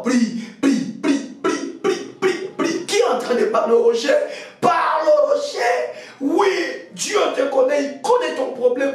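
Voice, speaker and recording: A man preaching and praying fervently in an insistent, commanding, repetitive way, often raising his voice to a shout. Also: very high voice. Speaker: preacher leading a prayer meeting. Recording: very echoey hall, distant from mic.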